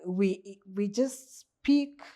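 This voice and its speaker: A woman's voice: medium pitch, very slow, warm tone. A Kenyan woman, a coach, talking thoughtfully in an interview and posing rhetorical questions to herself. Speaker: guest, coach. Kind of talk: reflective interview conversation.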